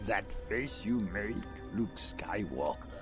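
A wizened, playful alien sage speaks very slowly in a low, croaky voice. The phrasing is halting and inverted, old and weary, with loud music underneath in a radio drama. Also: male voice, heavily acted. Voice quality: croaky, aged.

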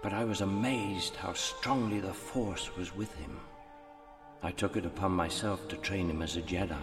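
A solemn, older mentor returned as a spirit in a radio play. His low voice is patient, formal and admonishing. It trails long ghostly reverb, with music swelling behind it. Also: moderate pace. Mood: grave, reflective. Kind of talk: radio drama acting.

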